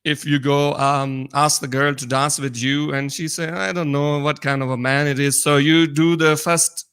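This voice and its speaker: Bright-voiced Pakistani man, explaining earnestly. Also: a little high, level tone, clear, resonant tone. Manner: earnest, explanatory, halting non-native English. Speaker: podcast co-host. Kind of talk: casual personal conversation.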